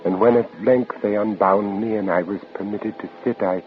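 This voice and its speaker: An actor playing an anguished, defiant French captain held prisoner, in theatrical old radio drama style. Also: male voice, fairly low pitch, fairly even intonation, moderate pace, narrow, tinny audio, close to an everyday voice. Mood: weak, dazed narration. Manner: theatrical, intense. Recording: noisy, band-limited old radio recording.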